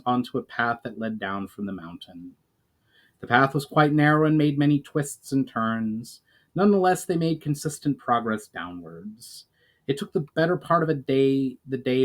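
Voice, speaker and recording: A man reading his own fantasy novel aloud as a dramatic, formal story narration. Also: medium pitch.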